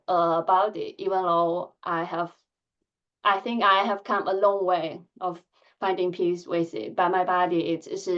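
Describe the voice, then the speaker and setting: Soft-spoken woman who stutters. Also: fairly low pitch, lo-fi sound. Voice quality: stutters, with blocks and repeated fillers. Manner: soft-spoken, candid, measured. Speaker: conference speaker. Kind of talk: conference talk. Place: conference hall.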